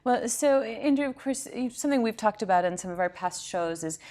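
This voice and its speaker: A woman's voice: a little high, expressive, a little fast, dark tone. A woman hosting a TV interview, asking encouraging follow-up questions and laughing easily. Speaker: TV interview host. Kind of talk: conversational interview.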